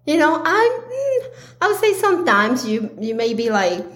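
A woman hosting her own podcast, talking earnestly with a slightly raspy, hoarse voice, pausing and restarting often. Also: high-pitched, sing-song, a bit bright. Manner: slow, earnest, with pauses and restarts. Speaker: podcast host. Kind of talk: casual solo podcast talk.

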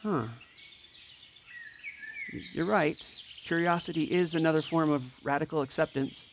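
A woman's voice: sits low, steady tone, measured pace, a little hiss or hum. A woman playing an empathetic, anxious mentor and counselor in a fiction podcast drama, sincere and self-doubting. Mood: subdued.

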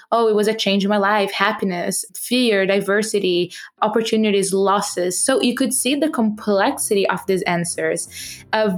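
A young woman speaks English with a noticeable foreign accent. She talks earnestly and with passion, often restarting her sentences and using fillers. Her pitch sits in the middle of the range. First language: not English. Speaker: podcast host. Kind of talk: personal talk about her project.

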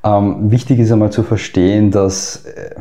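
A man's voice: on the low side, level tone, normal speed, warm tone. A man with an Austrian accent, a guest in an interview, explaining at length with frequent filler sounds. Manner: hesitant, many fillers. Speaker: guest expert.

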